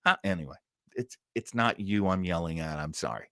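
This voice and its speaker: A man's voice: deep voice, lively intonation, brisk, fairly bright tone, dry recording, clean studio sound. A man hosting a livestream in a casual, rambling, jokey way, often interrupting himself. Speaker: livestream show host.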